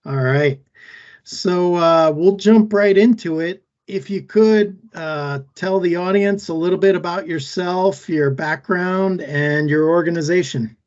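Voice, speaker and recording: A man's voice: sits high, a little slow, darkish tone, lo-fi sound. A man hosting a podcast, asking a guest to introduce himself and his organization. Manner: brief affirming interjections. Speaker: podcast host. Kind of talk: interview questions.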